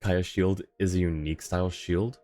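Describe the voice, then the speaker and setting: A man's voice: deep voice, steady tone, moderate pace, dark tone. A man livestreaming a game, talking casually and conversationally as he reads out and answers chat comments. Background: faint game music.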